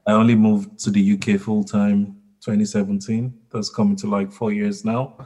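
Nigerian-born guy, lowish voice, pretty flat delivery, relaxed. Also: normal speed. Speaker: business owner. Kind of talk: interview conversation.

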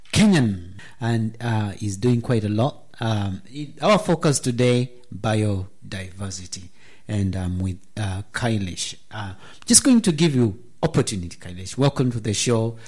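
Male radio host, studio, halting with fillers, mid-pitched voice. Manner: hesitant, many ums and uhs. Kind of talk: live radio talk show.

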